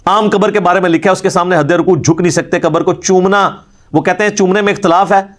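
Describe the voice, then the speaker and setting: A man with a somewhat high-pitched voice delivers a religious lecture. He speaks in an argumentative way, setting out his case. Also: fairly even intonation, quick pace.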